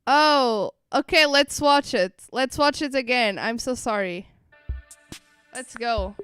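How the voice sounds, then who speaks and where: A woman streamer reacting live in casual, excitable chat. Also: fairly high pitch, slow pace, clear, resonant tone, no echo, soft background music. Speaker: streamer reacting to a game livestream.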